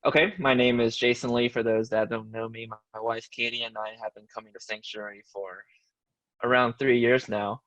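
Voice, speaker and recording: A man's voice: mid-range voice, fairly even intonation, normal speed, fairly bright tone, no echo, lo-fi sound. A man born in Taiwan and raised in the United States, a church member sharing his story reflectively in a panel over a video call. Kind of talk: personal testimony in a discussion.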